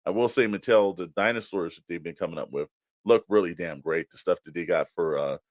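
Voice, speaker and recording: A man's voice: sits low, brisk, no echo. A man co-hosting a podcast, chatting casually and off the cuff, giving his opinions in a rambling, colloquial way. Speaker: podcast co-host discussing collectible toys. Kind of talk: casual unscripted opinion chat.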